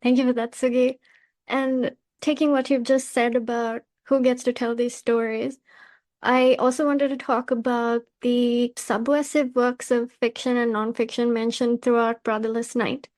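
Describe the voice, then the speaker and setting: A woman hosting a podcast, reading out prepared interview questions in a measured, formal, carefully worded manner. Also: on the high side, level tone, darkish tone, no echo, clean studio sound. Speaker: podcast host, magazine editor.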